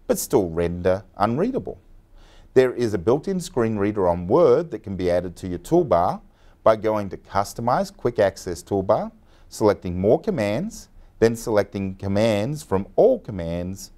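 A man in his forties giving a clear, step-by-step scripted software tutorial in a bright studio. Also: lowish voice. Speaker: software trainer.

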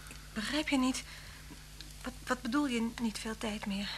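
A woman's voice: on the high side, moderate pace, close to an everyday voice. An actress playing a kind, frightened woman in a Dutch radio play, hesitant and anxious, stammering slightly. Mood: uneasy, puzzled. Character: kind, frightened woman facing a wanted man. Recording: hum and hiss of old radio recording.

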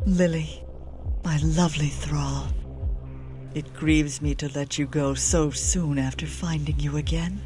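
A woman playing an anguished, refined vampire painter in a video game, melodramatic and breathy. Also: low-pitched, steady tone, measured pace, warm tone, faint music behind. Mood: tender gratitude. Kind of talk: video game drama acting.

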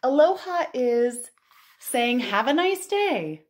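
A woman in her thirties hosting a storytime for young children, reading aloud and chatting in an expressive, exclamatory way. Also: high-pitched, takes it slowly. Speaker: children's librarian and storytime host. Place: living room at home.

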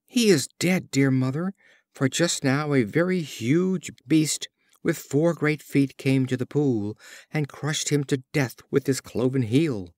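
A man's voice: medium pitch, measured pace, darkish tone, dry recording, clean studio sound, voice pushed up, close to an everyday voice. A man voicing an earnest young animal son in a storybook fable, speaking with polite, emphatic pleading.

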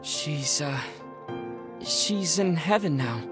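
A man's voice: a little high, expressive, takes it slowly, over loud music. A young-sounding man playing an earnest, kind teenage boy adventurer in a video game, speaking sincerely and plainly. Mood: somber, gentle. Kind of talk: naturalistic game voice acting.

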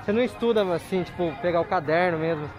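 Fast-talking slangy man interviewer. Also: high voice, a crowd in the background. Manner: slangy, says "mano" a lot. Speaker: interviewer and YouTuber. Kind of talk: casual street interview. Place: city street at night, outdoors. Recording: music playing loudly behind.

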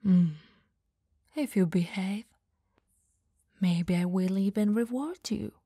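An elegant vampire lady, acted out by a woman, speaks in a mellow, breathy ASMR voice at a languid pace. She is dominant and teasing, with a caring softness underneath. The sound is dry and intimate, made for roleplay listening. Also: mid-range voice, dry recording. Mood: teasing, seductive. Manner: soft, breathy, slow teasing delivery, often dropping to whispers.

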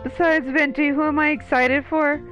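A woman's voice: sits high, steady tone, moderate pace, fairly bright tone, dull audio. A woman streamer giving casual, joking commentary as she plays a game. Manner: joking, chatty. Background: game music.

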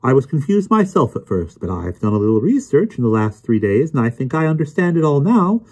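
A man voicing a bouncy, arrogant know-it-all gentleman lecturing theatrically in an audiobook story. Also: mid-range voice, very animated, a little fast, slightly rough, voice pushed up. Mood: smug, explaining. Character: smug, bouncy, know-it-all older gentleman explaining mysteries.

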